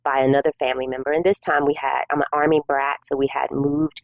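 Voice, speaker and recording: A middle-aged woman from South Korea, a native Korean speaker, sharing her personal testimony as a guest in an interview, speaking softly with many pauses. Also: rumbling low, little pitch movement, creaky, no room sound. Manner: soft-spoken and measured, many pauses and fillers. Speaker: guest minister.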